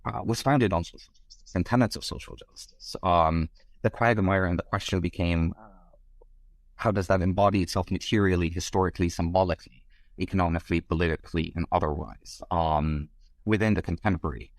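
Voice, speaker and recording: A man's voice: deep voice, steady tone, a little fast, no room sound. A middle-aged man from Egypt, a scholar being interviewed, speaking in wordy, hesitant academic phrasing.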